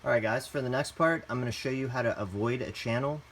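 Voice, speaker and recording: Casual man presenting, clear background noise. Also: medium pitch, quick pace, a bit bright. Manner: casual, plain instructional, addresses viewers as guys. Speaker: host, tutorial presenter. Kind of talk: step-by-step product tutorial.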